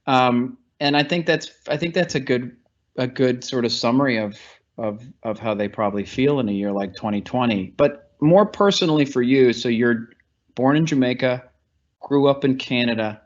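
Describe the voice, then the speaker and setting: A man hosting an interview on a webinar, chatty and animated, asking long questions and laughing easily. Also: medium pitch, normal speed, highs cut off. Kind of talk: interview moderation. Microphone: remote webinar call.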